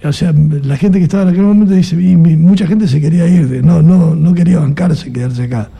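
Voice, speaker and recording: Raspy-voiced Argentine male interviewer. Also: on the high side, brisk, dark tone. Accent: Argentine Spanish. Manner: chatty, musing, tags questions with "¿no?". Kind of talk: conversational interview.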